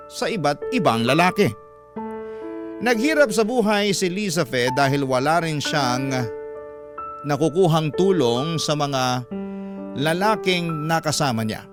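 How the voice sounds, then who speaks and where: A man narrating a scripted story as a radio host, in the style of classic radio programs. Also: fairly high pitch. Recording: clear music bed behind the voice.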